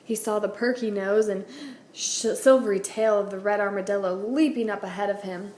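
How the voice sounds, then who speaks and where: A woman's voice: medium pitch, fairly even intonation, normal speed, darkish tone. A woman, a teacher, reading a children's storybook aloud indoors. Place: indoors at a wooden table, book on camera. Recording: roomy echo, clear background noise.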